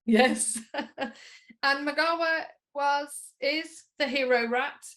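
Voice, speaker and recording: A woman's voice: sits high, very slow, fairly bright tone. A woman hosting an online conference, politely and appreciatively thanking and introducing speakers from her home. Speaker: conference host. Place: at home, on a video call.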